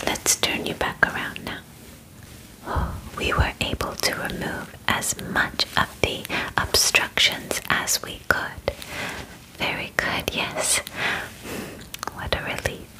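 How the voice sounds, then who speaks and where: A young woman voicing a gentle, clinical alien examiner in an ASMR roleplay, whispering softly and formally in a calm, reassuring way, close to the mic. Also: lightly acted, rough recording. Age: young adult. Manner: soft, slow, formal, soothing phrasing. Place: bedroom set, indoors. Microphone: close ASMR microphone.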